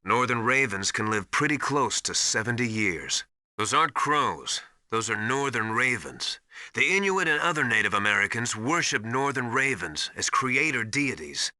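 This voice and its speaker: An intense, bright-voiced military mentor. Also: male voice, mid-range voice, big pitch swings, moderate pace, dry recording, studio quality. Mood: instructive. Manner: didactic, urgent, emphatic instruction. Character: knowledgeable, commanding male military survival mentor called Master. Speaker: radio support advisor. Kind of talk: video game voice acting.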